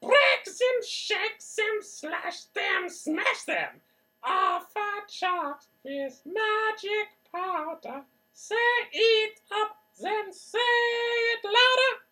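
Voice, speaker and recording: A woman playing a gleeful, child-hating witch who chants wicked rhyming verse with drawn-out, theatrical relish. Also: very high voice, pushed and bright, exaggerated voice, poor audio. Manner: drawn-out, relishing, exclamatory delivery.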